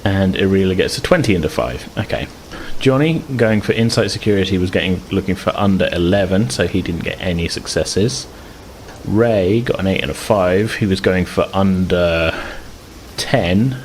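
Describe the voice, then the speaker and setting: A man's voice: low voice, slight background noise, poor audio. A man improvising narration as the game master of a tabletop game, hesitantly piecing his phrases together.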